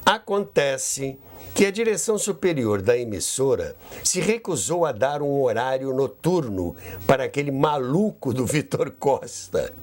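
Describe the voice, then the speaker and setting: A man's voice: medium pitch, very animated, unhurried, a little mellow. A Brazilian man hosting a history podcast, telling a scripted story in a theatrical way with conversational asides. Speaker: host and narrator of a radio history podcast.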